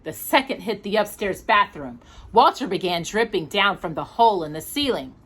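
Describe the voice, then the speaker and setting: A woman hosts a storytime, reading a picture book aloud. Her voice is bright and forward, at a middling pitch, and she narrates expressively for a young listener. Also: expressive, moderate pace, slightly rough.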